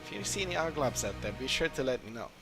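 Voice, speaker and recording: A man's voice: medium pitch, sing-song, quick pace. A man voicing a friendly, businesslike pest-control cleaner in video game dialogue, explaining things with light animation. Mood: friendly, explanatory. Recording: game music behind the voice.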